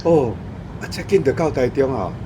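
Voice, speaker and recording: A man's voice: medium pitch, lively intonation, normal speed, background noise, lightly acted. A male actor with a Taiwanese accent playing an easygoing husband in casual radio drama conversation.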